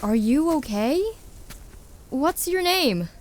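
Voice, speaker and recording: A woman's voice: high voice, lively intonation, slow. A woman playing an exasperated, sardonic young woman in a naturalistic radio drama. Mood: anxious, calling out. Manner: sarcastic, questioning.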